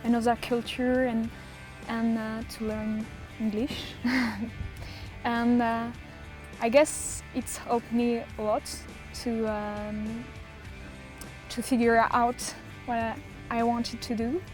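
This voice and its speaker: Slow, high-pitched young Frenchwoman, hesitant speaker. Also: level tone, soft background music, poor audio. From south of France. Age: young adult. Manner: hesitant, searching for words, giggly. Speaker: exchange student interviewee. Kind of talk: casual interview answers.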